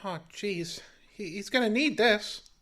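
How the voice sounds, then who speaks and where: An actor playing a naive, eager, big-hearted sidekick in a comic noir radio drama, earnest and stammering with folksy slang. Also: male voice, high-pitched, measured pace, a bit bright, a little hiss or hum. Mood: anxious.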